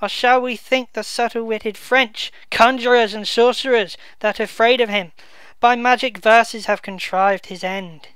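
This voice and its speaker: A woman reading the part of a grieving noble duke at a king's funeral, declaiming verse slowly and loudly in her own voice. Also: fairly high pitch, steady tone, clean tone, no room sound, pitched above the performer's own voice. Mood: bitter. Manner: slow, loud, declaiming verse.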